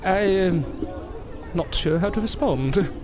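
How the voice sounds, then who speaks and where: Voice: medium pitch, lively intonation, slow pace, crowd noise, background noise. A performer playing a proud but polite circus newcomer in an audio drama, with an earnest, formal and sometimes hesitant manner. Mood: awkward, polite.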